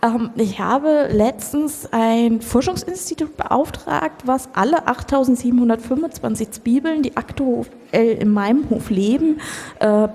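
In a reverberant hall, a woman plays a zealous farmer devoted to happy vegetables in an improvised radio comedy. The voice is fairly high, earnest and insistent. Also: measured pace, warm tone, husky. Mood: idealistic. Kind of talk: improvised comic radio play. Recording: echoey hall, live stage.

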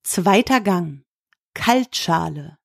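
A woman narrating a scripted story in a measured, literary reading style. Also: lowish voice, lively intonation, creaky, no echo, studio quality.

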